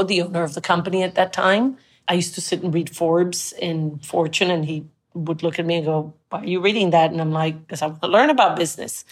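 A middle-aged woman from Colombia, Spanish her first language, talks through her early working years as an interview guest. Her voice sits on the low side, and she tells her story earnestly, acting out the things people said to her. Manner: reflective, earnest, recounts with quoted dialogue.

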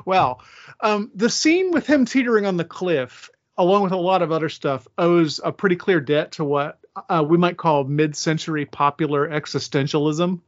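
A man's voice: fairly high pitch, normal speed, lo-fi sound. A man hosting a podcast, moderating a casual academic discussion.

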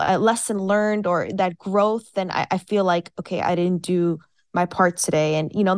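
A woman's voice: mid-range voice, dry recording. Earnest woman, dry sound. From United States. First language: Farsi. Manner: earnest, with many fillers and restarts. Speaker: guest. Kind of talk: casual interview talk.

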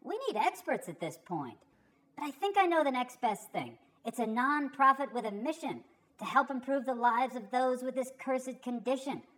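A woman's voice: sits high, animated, moderate pace, fairly bright tone, voice pushed up, low-quality recording. A woman voicing a tiny, earnest male Christmas elf who explains things eagerly and precisely in a rhyming storybook. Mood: hopeful.

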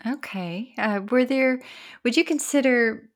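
A woman's voice: a little high, measured pace. Woman hosting a podcast. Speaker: podcast host. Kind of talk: scripted intro then interview.

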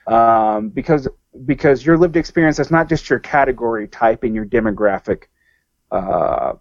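A man's voice: mid-range voice, normal speed, dry recording, highs cut off. A man from the American South talking as a podcast guest in loose discussion, full of fillers and restarts. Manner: rambling, many fillers and restarts. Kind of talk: casual discussion.

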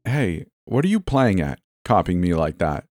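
A man's voice: mid-range voice, big pitch swings, moderate pace, warm tone, no room sound, studio quality, voice pushed up. A man voicing a frightened, defensive young male camp worker in a horror story, with a raised, strained voice. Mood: angry, embarrassed. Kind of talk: dramatized horror story narration.

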